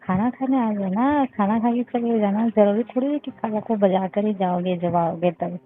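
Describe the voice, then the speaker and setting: A woman's voice: mid-range voice, moderate pace, soft background music. Woman on a tinny phone line. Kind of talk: casual intimate phone chat. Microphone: phone call recording.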